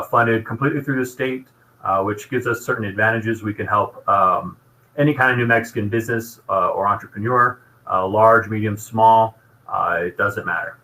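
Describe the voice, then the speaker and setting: A man's voice: fairly low pitch, pushed and bright, rough recording. A man giving an informational presentation about his program in an explanatory, businesslike manner.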